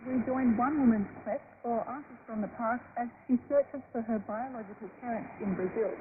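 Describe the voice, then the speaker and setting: A woman's voice: fairly high pitch, brisk. A woman presenting a radio magazine programme, reading scripted introductions. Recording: noisy tinny shortwave radio broadcast. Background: shortwave static.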